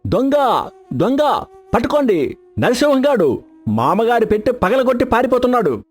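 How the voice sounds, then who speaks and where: An actor playing a greedy, arrogant, hot-tempered son-in-law in a dramatized folk tale, domineering and threatening. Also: male voice, very high voice, very animated, moderate pace, darkish tone, breathy edge, faint music behind, full character voice. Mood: shouting alarm.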